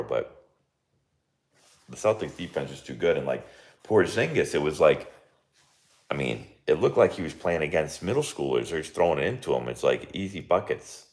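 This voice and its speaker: Hoarse, deep-voiced man rambling casually. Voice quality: very deep and gravelly. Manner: rambling, filler-heavy with many likes and restarts. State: hoarse, losing his voice. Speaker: baseball coach streaming live. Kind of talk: casual live-stream commentary.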